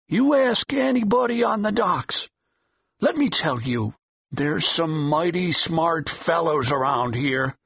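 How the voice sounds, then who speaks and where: A man playing a gruff, gossipy old American watchman on the docks, husky and folksy, in an audiobook drama. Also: high-pitched, a bit bright, no room sound, heavily acted. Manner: slow, folksy, ungrammatical dockworker talk. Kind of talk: full-cast audiobook acting. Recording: dull, muffled audio.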